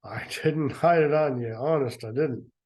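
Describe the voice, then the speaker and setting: A man voicing a frightened eight-year-old girl in a storybook reading, pleading in a slightly louder voice. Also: mid-range voice, moderate pace, lightly acted.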